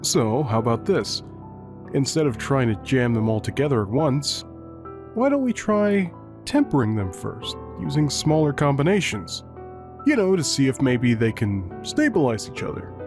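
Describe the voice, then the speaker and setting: A man's voice: mid-range voice, big pitch swings, moderate pace, a little mellow. A man playing a laid-back, wry skeleton lab assistant in a comic dub, speaking casually with dry, hesitant humor. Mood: wry, tentative. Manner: casual, hesitant, dryly joking. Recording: faint music behind the voice.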